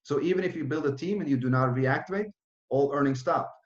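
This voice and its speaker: Man in his thirties, roomy lo-fi sound. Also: a little high. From Norway. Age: 30s. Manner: fast, run-on, with frequent restarts and fillers. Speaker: project founder presenting. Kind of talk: online presentation with Q and A.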